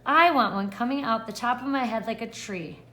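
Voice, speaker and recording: A woman's voice: fairly high pitch, normal speed, fairly bright tone, close to an everyday voice. A woman voicing a stubborn, defiant little schoolgirl from a storybook, declaring things firmly and emphatically.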